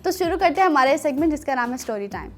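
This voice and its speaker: A woman presenting a children's show in a chatty, teasing, animated way, talking to children and telling stories. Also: high voice, rapid-fire, over music.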